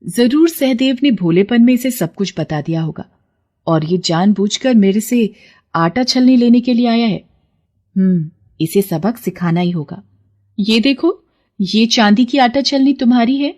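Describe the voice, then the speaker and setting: A woman's voice: a little high, expressive, a little slow, darkish tone. Woman voicing a solemn, kind pond goddess. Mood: suspicious. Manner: solemn, benevolent, scolding the greedy. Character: kind but stern female pond goddess granting magical gifts.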